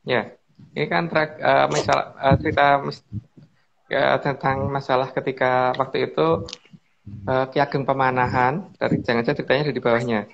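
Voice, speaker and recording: A man's voice: mid-range voice, steady tone, moderate pace, creaky, highs cut off, a little hiss or hum. A man guest speaker explaining old lore in a casual, rambling way, full of fillers and restarts, politely addressing the host over an online call.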